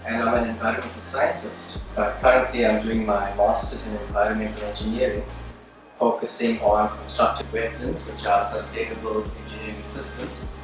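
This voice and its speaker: A man from South Africa introducing his studies in a steady, informative way. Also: lowish voice, moderate pace. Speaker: master's student. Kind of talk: self-introduction. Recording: distant, echoey, with music.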